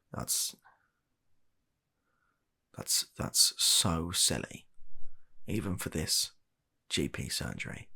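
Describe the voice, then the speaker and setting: A British man with a low, very creaky voice plays a doctor in a comedy ASMR roleplay. He is husky and swings animatedly in pitch, yet stays awkward, hesitant and exasperated. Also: pushed and bright.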